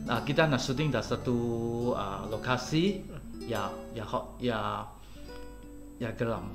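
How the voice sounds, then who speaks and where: A man in his forties, a Mandarin native speaker, answering interview questions as a film director in halting speech full of fillers, on a desk mic in a small studio. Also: medium pitch, vocal fry, music in the background. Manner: halting, many fillers and repeated "ya". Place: small studio set with poster backdrop. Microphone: desk mic on tripod.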